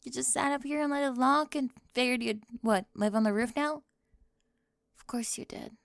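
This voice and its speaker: A woman plays a prickly young cheerleader who needles and deflects with sarcasm, often slipping into a close whisper. Her voice sits a little high with a gravelly fry at the edges. The sound is dry and up close to the mic. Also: moderate pace, dry recording. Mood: snippy. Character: sharp-tongued young woman cheerleader bully. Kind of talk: ASMR roleplay.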